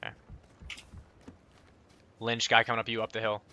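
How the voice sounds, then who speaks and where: A man's voice: lowish voice, monotone, moderate pace, bright, forceful tone. A man playing an online shooter, calling out to his squad in terse, casual, profane bursts. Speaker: video game player. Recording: clear background noise, game sounds.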